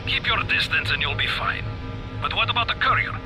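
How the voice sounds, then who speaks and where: A dry, unsympathetic gang handler in a game. His highish voice moves animatedly as he gives brisk orders, with music blaring behind him. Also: lively intonation, low-quality recording. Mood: matter-of-fact. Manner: matter-of-fact, dry, commanding. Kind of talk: video game cutscene acting.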